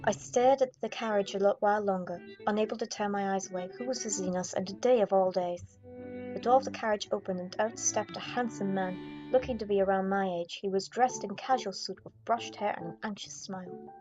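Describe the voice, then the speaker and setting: Low-voiced young woman reading a visual novel. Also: brisk. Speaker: gaming YouTuber. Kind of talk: dramatic read-aloud of visual novel narration. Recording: dull audio, music behind. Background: game music.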